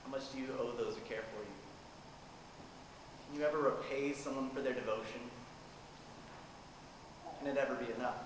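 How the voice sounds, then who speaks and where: Guy acting a gentle young grandson in a stage play, super echoey, noisy far mic. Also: medium pitch, fairly even intonation, moderate pace, long pauses, close to an everyday voice. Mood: wistful narration. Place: theater stage, far camera.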